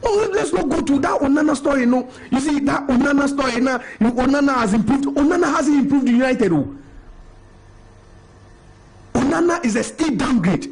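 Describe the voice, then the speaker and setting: A man's voice: very high voice, lively intonation, normal speed. A man arguing football as a studio pundit, repeating himself and laughing between points. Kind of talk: heated studio debate. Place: television studio.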